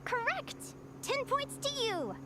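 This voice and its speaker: A woman's voice: very high voice, big pitch swings, takes it slowly, background noise, heavily acted. A woman voicing an upbeat young female adventure advisor in an anime-style video game, teaching like a playful quiz host. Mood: enthusiastic.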